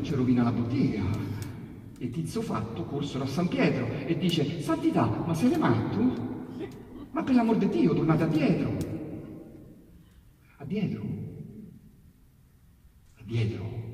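Un uomo con accento romanesco che recita sonetti dialettali in modo teatrale, passando da esclamazioni forti a sussurri bassi. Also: male voice. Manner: dramatic, swinging from loud outbursts to hushed low asides. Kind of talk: theatrical poetry recitation. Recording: echoey hall, distant mic, faint hiss.

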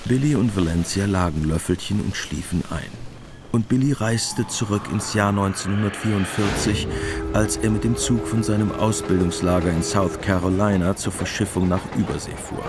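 A man's voice: on the low side, normal speed, background noise. A man narrating a radio play in a matter-of-fact, scripted style.

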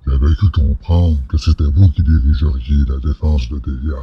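An aged alien ruler in an audio drama, with an extremely deep voice and heavy rasp. He speaks with courteous, dignified authority and a genial temper. The recording is dull and muffled. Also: fairly even intonation, moderate pace, heavily acted. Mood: courteous, commanding. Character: dignified elderly alien supreme leader, genial and commanding.

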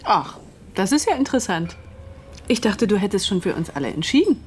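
A woman's voice: medium pitch, big pitch swings, a little fast, a little hiss or hum, lightly acted. An actress in a German radio drama playing a cutting, sarcastic, middle-aged mother who defiantly shields her son.

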